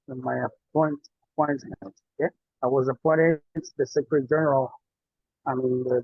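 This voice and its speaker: Congolese activist, man, husky and halting, video-call sound. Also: fairly high pitch, no echo. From Eastern Congo. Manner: halting, searching for words in English. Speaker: activist and party official. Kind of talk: self-introduction in a video meeting. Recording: dull, dry video-call audio.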